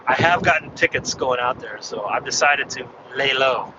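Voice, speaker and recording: A man slightly hoarse from losing his voice, chatting in a rambling way while driving. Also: mid-range voice, moderate pace. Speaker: ham radio vlogger. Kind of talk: casual chat while driving. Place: inside a car on a freeway. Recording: dull muffled car recording with road noise. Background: road and engine noise.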